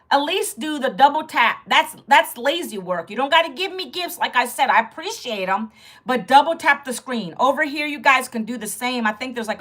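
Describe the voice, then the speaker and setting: Bright-voiced chatty woman in her fifties. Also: high voice. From Virginia, United States. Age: 50s. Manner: chatty and direct, greets and addresses viewers often. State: sore back, fearing loss of voice. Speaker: livestream host. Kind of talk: casual livestream chat to viewers.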